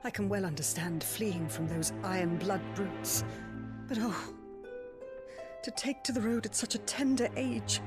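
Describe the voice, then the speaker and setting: A woman's voice: medium pitch, unhurried, lightly acted. An actress playing a genteel, welcoming, wistful noblewoman in a game cutscene, with courteous, formal phrasing. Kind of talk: cinematic game voice acting. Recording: music behind the voice. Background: music.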